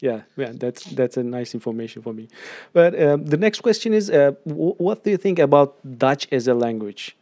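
A man's voice: mid-range voice, animated, moderate pace, few pauses, warm tone, no room sound. Male interviewer, casual and friendly, easy laugh, dry room. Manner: friendly questioner, laughs often, hesitant with fillers.

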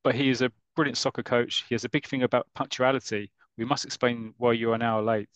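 Man reading calm, formal team captain, plain mid-pitched voice, dry sound. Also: quick pace, dry recording, lightly acted. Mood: earnest. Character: earnest male football team captain.

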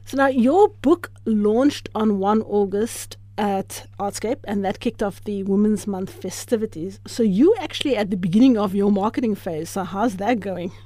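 A woman hosts a radio show in a mellow, warm voice, asking measured, friendly questions. The studio sound is dry and close. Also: medium pitch, no echo. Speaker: radio host. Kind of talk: radio interview.

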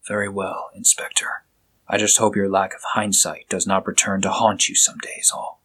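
A man's voice: low-pitched, monotone, moderate pace. A man doing a whispering, guarded doctor. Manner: hushed, breathy whispering, quiet and guarded. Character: reserved, secretive doctor detective, adult man.

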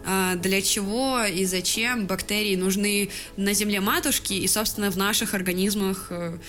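A woman's voice: mid-range voice, steady tone, moderate pace, a bit bright. A young woman chatting casually as a podcast co-host, laughing often and swearing freely.

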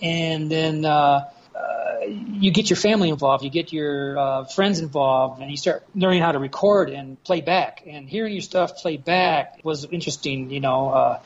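A man's voice: fairly high pitch, moderate pace, dull audio. An American man in his fifties reminiscing casually as a podcast guest, heard over an internet call. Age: 50s.

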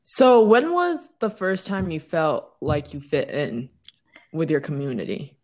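A woman's voice: deep voice, wildly swooping pitch, measured pace, darkish tone. A woman in casual conversation, reflecting thoughtfully on growing up and asking her partner questions. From United States. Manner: reflective, storytelling, asks questions.

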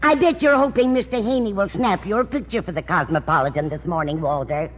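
A woman's voice: mid-range voice, a little fast, natural voice. An actress playing a chatty, scatty older landlady in vintage radio comedy style, folksy and gossipy. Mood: motherly, teasing. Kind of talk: 1940s-50s radio sitcom acting. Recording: dull old-time radio recording, faint noise.

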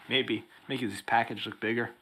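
A man's voice: medium pitch, fairly even intonation, takes it slowly, sharp tone, slight background noise. Laid-back man recapping comics. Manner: laid-back, recaps plot with dry jokes. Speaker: podcast co-host. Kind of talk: casual comic book recap chat.

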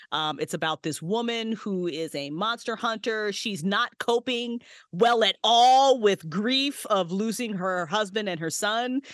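A woman's voice: mid-range voice, a bit bright, no room sound, clean studio sound. A woman voice actress and writer, a podcast guest chatting casually, laughing a lot and swearing freely.

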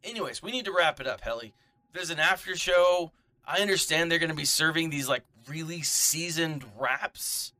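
A man's voice: fairly high pitch, sharp tone. A man playing a pompous, fussy storyteller in a comedic radio drama, by turns grandiose and chatty. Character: pompous, fussy bald storyteller, a preening diva.

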